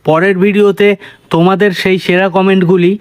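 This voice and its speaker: A man as channel host giving a quick, friendly outro announcement. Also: high voice, little pitch movement, clean tone.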